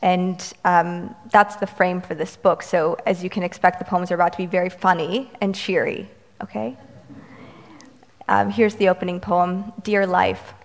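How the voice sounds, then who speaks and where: Low-voiced woman poet in a reverberant hall. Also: level tone. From Romanian-American. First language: Romanian. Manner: measured reading, brisk and wry between poems. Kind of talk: poetry reading with commentary.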